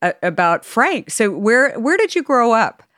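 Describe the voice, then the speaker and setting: A woman's voice: on the high side, sing-song, a little slow, fairly bright tone, dry recording, studio quality. A woman hosting a podcast interview, enthusiastic and bubbly, who also reads the show's ads. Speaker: podcast host. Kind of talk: interview and ad read.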